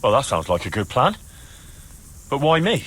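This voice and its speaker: A man's voice: medium pitch, huge pitch swings, moderate pace, a bit bright, slight background noise. A man playing a hard-nosed young medieval man-at-arms in a video game, blunt, sarcastic and pressing. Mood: wary, dutiful. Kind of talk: video game cutscene acting.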